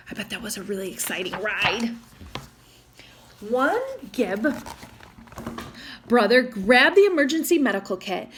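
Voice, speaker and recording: A woman with a fairly high voice reads a children's storybook aloud. Her delivery is expressive, and she breaks off for chatty little asides to young listeners. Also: slight background noise. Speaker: storytime reader.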